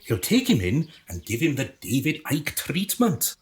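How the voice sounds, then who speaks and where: A man's voice: on the high side, huge pitch swings, unhurried. A man acting in a radio drama as a smug, scheming leader with a grandiose, theatrical manner. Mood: gleeful relish.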